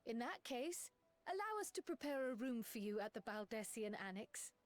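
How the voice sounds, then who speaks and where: Young female scholar, game cutscene. Eager, polite, high voice, animated pitch. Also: moderate pace. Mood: gracious. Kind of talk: video game cutscene acting.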